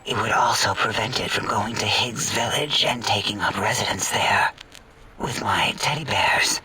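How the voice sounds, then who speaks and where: An actor voicing a sultry female robotic scientist in a video game, creepily fascinated, whispering in a breathy, clinical, lingering way. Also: medium pitch, normal speed, pushed and bright, distant mic, a little hiss or hum, lightly acted, low-quality recording. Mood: eager, fascinated. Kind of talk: video game character acting.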